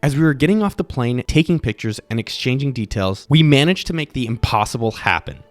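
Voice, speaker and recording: A man's voice: mid-range voice, expressive, fairly quick, soft background music. A man narrating his video channel in a scripted, upbeat promotional style.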